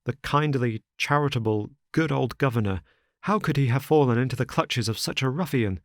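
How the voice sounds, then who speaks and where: A man voicing an anxious, careworn young gentleman who speaks in an urgent, distressed way. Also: mid-range voice, normal speed, no echo, studio quality, pitched above the performer's own voice, close to an everyday voice.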